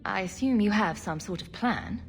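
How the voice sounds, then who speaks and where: An actress as a composed female adventurer in a fantasy game. Her mid-pitched voice is measured and skeptical, with light music playing behind it. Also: animated, close to an everyday voice. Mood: probing. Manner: measured, questioning. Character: composed, skeptical adventuring heroine, diplomatic but probing. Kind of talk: video game voice acting.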